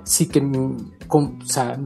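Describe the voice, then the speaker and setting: Brazilian man speaking Spanish, steady. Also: medium pitch, slow, creaky, soft background music. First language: Portuguese. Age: 40s. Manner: thoughtful, steady, with small restarts and fillers. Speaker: journalist and author guest. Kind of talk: interview conversation. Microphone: remote connection.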